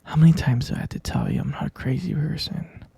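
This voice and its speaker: A man playing a sweetly menacing, possessive boyfriend captor in a whispered ASMR roleplay, cooing and coaxing in a husky, halting voice. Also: on the high side, huge pitch swings, dark tone, dry recording, full character voice. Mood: insistent, defensive. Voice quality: breathy, husky whisper with gravelly creak.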